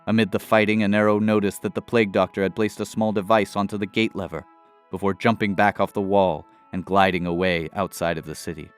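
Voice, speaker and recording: A man narrating a scripted fantasy adventure in a dramatic, measured storytelling manner. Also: lowish voice, little pitch movement, smooth, soft background music.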